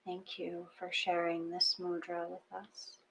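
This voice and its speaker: A woman's voice: fairly low pitch, monotone, a little slow, fairly bright tone, rough recording. A woman who leads guided meditation and chats casually about it, in a giggly, conversational way. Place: live online session from a room. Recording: faint background noise.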